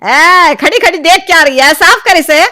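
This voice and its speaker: Woman acting a sharp, spiteful mother-in-law. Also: very high voice, moderate pace. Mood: bossy scolding. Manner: scolding, bossy, contemptuous. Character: spiteful, domineering middle-aged mother-in-law. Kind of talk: Hindi moral story voice acting.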